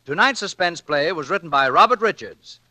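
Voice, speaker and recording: Brief: sharp, high, animated male announcer with faint music underneath. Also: brisk, dry recording. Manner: crisp, promotional. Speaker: radio announcer. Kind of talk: program closing announcement.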